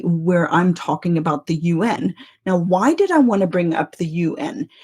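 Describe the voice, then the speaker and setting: A woman's voice: on the low side, dark tone, breathy edge. A woman in her fifties from South Africa, with a mixed international accent that keeps faint South African traces, hosting a teaching podcast in a conversational way, asking rhetorical questions and checking in with "right?".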